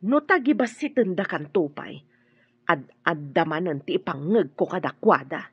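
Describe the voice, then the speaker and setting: Woman playing proud Ilocano mother, middle-aged, protective, radio drama, husky, muffled lo-fi sound. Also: lowish voice, lively intonation, normal speed, dry recording, full character voice. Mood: indignant. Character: proud, protective mother sizing up her child's in-laws.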